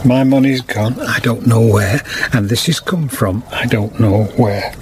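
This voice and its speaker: A man playing a lonely, stubborn old bachelor weaver in a radio drama, plaintive and halting. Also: medium pitch, background noise, close to an everyday voice. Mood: dazed, resolute.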